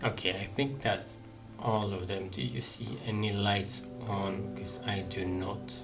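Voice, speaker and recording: A man's voice: on the low side, steady tone, unhurried. A man giving casual commentary while he plays a video game. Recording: game music loud behind the voice. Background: video game music.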